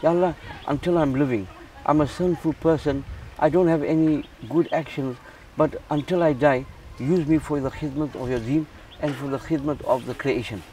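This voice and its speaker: A man's voice: fairly high pitch, steady tone, moderate pace, hardly any pauses, warm tone, slight background noise. Earnest man from Zimbabwe, heard from a distance. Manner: repeats words and restarts, earnest. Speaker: charity founder. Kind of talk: casual interview.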